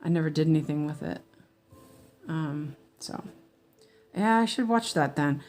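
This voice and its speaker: A woman's voice: low-pitched, expressive, a little slow, a little mellow. An American woman chatting in a rambling, meandering way with her viewers as she stitches during a casual livestream.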